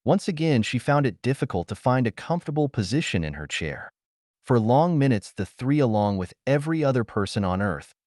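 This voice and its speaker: A man with a fairly low voice reads a story as an audiobook narrator. His scripted delivery is dramatic, with wide-ranging intonation, and the recording is dry and close. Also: a little mellow, no echo.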